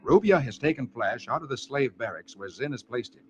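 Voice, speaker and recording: A man's voice: medium pitch, expressive, brisk, over loud music. A man reading comics to children on 1940s radio in a theatrical, dramatic scripted style. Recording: dull, noisy vintage radio recording.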